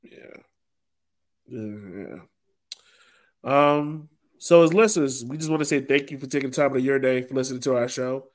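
A man's voice: mid-range voice, normal speed, long pauses. Casual man hosting a sports podcast. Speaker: podcast host.

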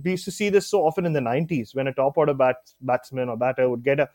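A man's voice: medium pitch, fast, a little mellow, no room sound. Guy chatting casual on a podcast, loads of uh and you know, dead dry sound. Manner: chatty, joking, many fillers. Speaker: cricket writer guest.

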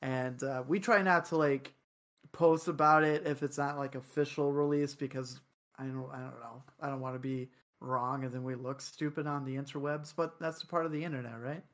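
A man's voice: steady tone, normal speed, a bit bright. A man hosting a casual podcast, chatting in a laid-back, rambling way. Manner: laid-back, rambling, self-described high voice. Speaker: podcast host.

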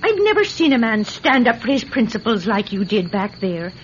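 An animated young country girl. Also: a little high, moderate pace. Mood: admiring. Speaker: actress playing a country girl. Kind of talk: classic radio drama acting. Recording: muffled, hissy vintage radio recording.

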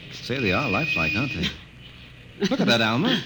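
A man playing a hurried, brusque army colonel and father in a 1950s radio drama. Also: mid-range voice, wildly swooping pitch, loud music behind the voice, rough recording. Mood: brisk. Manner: brusque, dismissive.

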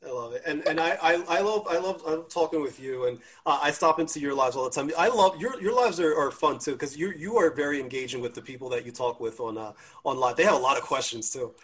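Man, panel host, husky voice, upbeat, laughs a lot, repeats words. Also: on the high side, fast, hardly any pauses. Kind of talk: casual panel chat.

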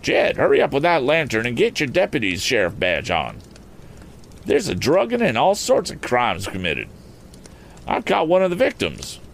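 A man voicing an excitable, suspicious country farmer with folksy, exclamatory talk in a storybook reading. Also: mid-range voice, normal speed, vocal fry, slight background noise, pitched above the performer's own voice. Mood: excited, urgent. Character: excitable rustic old farmer, tall, suspicious then eager.

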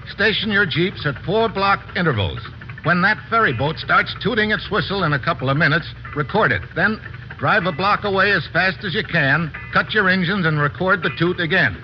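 A man playing a brisk, resourceful American investigator in an old-time radio drama, with a clipped, commanding manner. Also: sits high, animated, faint music behind. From United States. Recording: dull, noisy old radio recording.

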